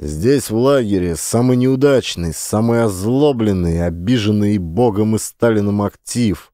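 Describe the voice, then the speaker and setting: A man narrating a memoir audiobook with a dark, mellow voice, grave and measured, leaning hard on his words. The sound is close and dry, with no room around it. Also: fairly low pitch, no echo. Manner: measured, grave, slow emphatic delivery. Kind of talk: scripted first-person memoir narration.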